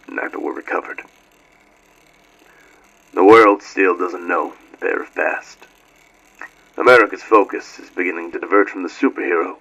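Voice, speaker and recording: An actor playing a grave, weary military commander dictating a somber log through a radio filter. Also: male voice, low-pitched, pushed and bright, breathy edge, exaggerated voice. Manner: somber, clipped. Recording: muffled radio-style log recording.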